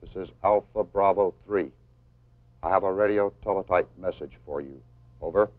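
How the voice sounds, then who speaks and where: A man acts out a businesslike military radio operator in an old training film. His voice is low and formal, using set radio procedure. The sound is dry and thinly band-limited. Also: normal speed, no echo, phone-quality sound.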